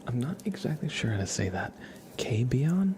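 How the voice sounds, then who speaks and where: A man hosting an ASMR livestream in a soft, gentle, encouraging voice that often slips into whispering. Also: mid-range voice, very animated, moderate pace, slight background noise. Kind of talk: ASMR, soft-spoken live stream with whispering.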